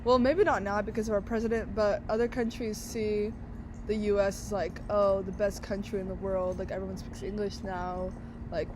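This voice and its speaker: A woman's voice: mid-range voice, flat delivery, normal speed. Young woman, casual rambling interviewee, outdoor traffic noise. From Brazil. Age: young adult. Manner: chatty, many fillers and restarts.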